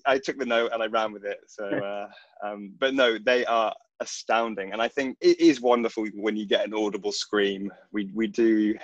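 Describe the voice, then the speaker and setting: A young man on a video call telling a casual anecdote, halting and correcting himself as he goes. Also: fairly low pitch, fairly even intonation, dry recording, lo-fi sound. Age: young adult. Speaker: stage actor on a panel. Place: attic room at home, video call.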